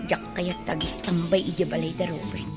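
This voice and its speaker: A woman's voice: on the low side, level tone, moderate pace, loud music behind the voice, natural voice. A woman acting an aggrieved, defiant young wife in an Ilocano radio drama. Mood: brooding.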